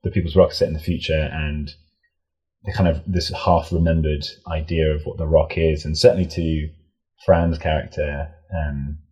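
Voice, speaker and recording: A man chatting casually as an interview guest, in a rambling, halting way that often doubles back on itself. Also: rumbling low, little pitch movement, mellow, breathy edge. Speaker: audio drama creator, interview guest.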